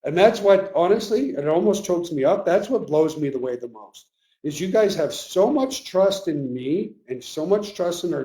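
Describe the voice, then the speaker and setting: A man hosting a live webinar, talking earnestly and emotionally to his team, his voice getting a little raspy. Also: fairly high pitch, warm tone, rough recording. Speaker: webinar host. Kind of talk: live webinar pep talk.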